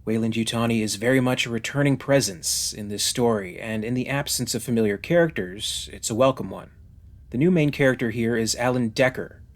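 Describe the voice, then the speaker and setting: A man hosting a video review, reading scripted narration in a measured, articulate and formally phrased way. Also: mid-range voice.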